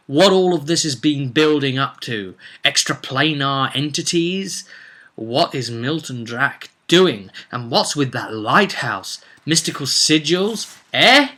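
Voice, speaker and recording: A man's voice: mid-range voice, pushed and bright. A man talking as a game master in a casual storytelling vlog, with theatrical emphasis and rambling asides. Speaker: game master recapping a tabletop roleplaying campaign.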